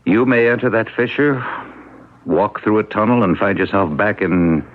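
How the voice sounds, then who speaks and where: An actor playing an earnest, persuasive American town leader, patient but desperate, in a solemn 1970s radio drama style. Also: male voice, fairly low pitch, normal speed, natural voice. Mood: grave, persuasive. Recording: muffled, tinny vintage radio recording.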